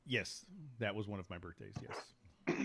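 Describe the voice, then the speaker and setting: A man's voice: low voice, expressive, unhurried, creaky, bright, forceful tone. A middle-aged man hosting a podcast in loose, casual chat, jokey and prone to swearing. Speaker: podcast host.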